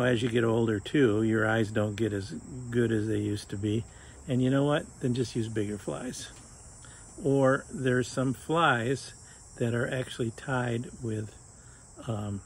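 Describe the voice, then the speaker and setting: A man's voice: mid-range voice, a little hiss or hum. A man in his sixties giving a casual, joking fly fishing lesson while tying knots on a sunny patio. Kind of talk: casual how-to demonstration. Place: outdoors on a sunny patio by a fence.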